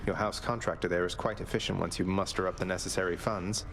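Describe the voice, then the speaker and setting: An actor playing a wry, rebellious young prince of the Underworld in a video game. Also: male voice, sits low, fairly even intonation, fast talker.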